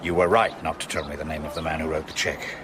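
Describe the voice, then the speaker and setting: An actor playing a measured, grave Victorian gentleman with an English accent in a radio drama, formal, deliberate and quietly probing. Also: extremely low pitch, flat delivery, noisy background.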